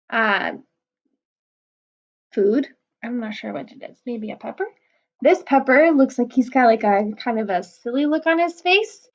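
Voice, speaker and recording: A woman reading a picture book aloud to children, explaining the pages in a gentle, patient way. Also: high voice, dull audio.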